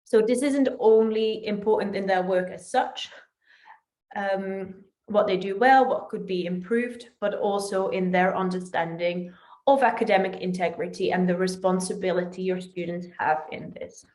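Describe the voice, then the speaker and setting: A woman with a Dutch accent delivers a prepared online presentation. Her pitch sits in the middle range for a woman. The recording is roomy, with clear echo around her voice. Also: normal speed, a little mellow. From Netherlands. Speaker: company territory manager presenting. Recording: roomy, echoing voice.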